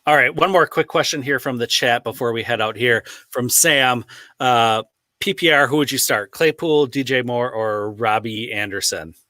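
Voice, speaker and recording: A man hosting a casual sports chat, relaxed and steering the talk along. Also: medium pitch, moderate pace, dry recording. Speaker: podcast host.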